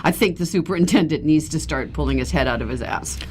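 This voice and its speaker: A woman's voice: sits low, quick pace, darkish tone, slight background noise. A woman guest on a radio interview, chatty and laughing often as she tells her stories. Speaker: activist guest. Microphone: radio studio microphone.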